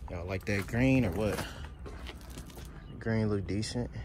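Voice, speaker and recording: A man's voice: on the low side, slow pace. Man, car vlogger, joking and chatty, phone audio with lot noise outdoors. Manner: teasing, chatty, addresses viewers as y'all. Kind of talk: casual walkaround commentary. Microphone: handheld phone camera.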